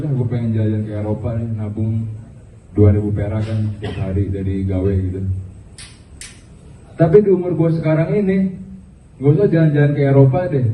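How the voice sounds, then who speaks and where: A man delivers stand-up at a small open mic, halting and filler-heavy, with bits of English mixed in. He sounds distant from the mic in a roomy space. The audio is thin and phone-like, with a faint hiss underneath. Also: mid-range voice. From Indonesia. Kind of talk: stand-up comedy.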